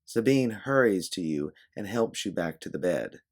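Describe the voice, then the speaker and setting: Deep-voiced man narrating fiction. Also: lively intonation, normal speed. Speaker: narrator and writer. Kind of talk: scripted fiction narration, second person.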